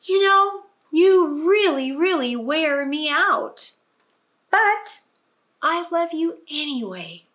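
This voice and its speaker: A woman voicing a loving but worn-out storybook mother who is firm about bedtime, with drawn-out emphasis. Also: high-pitched, very animated, fairly bright tone, voice pushed up, heavily acted. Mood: weary, affectionate.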